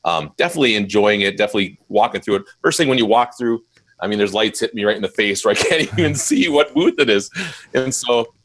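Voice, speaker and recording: A mobile DJ business owner, a man, talks shop in an easygoing live interview. His voice sits fairly low. He gets animated when he retells a story and raises his voice to quote people. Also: quick pace, background noise. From Minnesota, USA.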